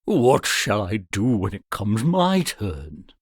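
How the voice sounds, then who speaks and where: A man's voice: on the low side, big pitch swings, takes it slowly, husky, no room sound, clean studio sound, full character voice. A man voicing a timid, humble medieval cowherd in a storybook, hesitant and plaintive. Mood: fearful, resigned.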